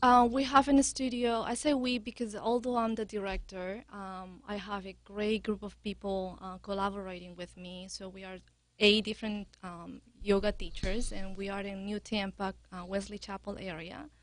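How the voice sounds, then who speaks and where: Peruvian-accented woman, earnest and halting. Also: medium pitch, little pitch movement. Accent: Peruvian Spanish. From Peru. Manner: earnest, warm laughter, halting restarts. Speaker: yoga studio director. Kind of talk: casual interview chat.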